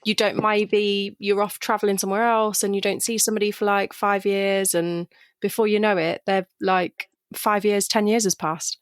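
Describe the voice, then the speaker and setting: A woman hosts a relaxed podcast chat, friendly and quick to laugh as she reminisces. Her voice is mid-pitched and sounds close and dry. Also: smooth, no room sound. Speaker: podcast host, stylist. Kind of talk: casual chat.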